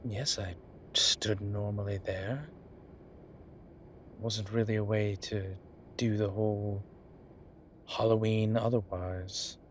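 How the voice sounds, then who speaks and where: A man playing a wry, laid-back incubus demon professor in a roleplay audio drama, talking with breathy pauses and trailing sentences. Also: on the low side, fairly even intonation, slight background noise. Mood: content, reflective. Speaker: voice actor. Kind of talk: roleplay audio drama, one side of a conversation.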